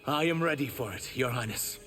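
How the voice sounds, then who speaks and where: An actor playing an earnest, dutiful shapeshifting reptilian emissary who carries grief, in video game dialogue with noisy sound. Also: male voice, mid-range voice, brisk, a bit bright, faint music behind, close to an everyday voice.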